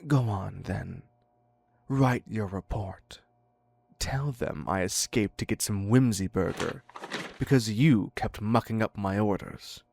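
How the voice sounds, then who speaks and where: A man's voice: on the low side, slow pace, vocal fry, darkish tone, slightly rough, no room sound, full character voice. A man playing a theatrical, clingy serial killer in an audio roleplay, wry and mock-courteous as he dotes on his captive. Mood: wry, dismissive. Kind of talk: scripted dark romance audio roleplay.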